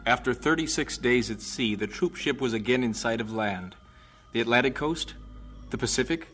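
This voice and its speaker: A man playing the narrator of a missionary life story in an audio drama, telling it in measured scripted prose. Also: medium pitch, a bit bright, faint music behind, lightly acted. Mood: measured, serious.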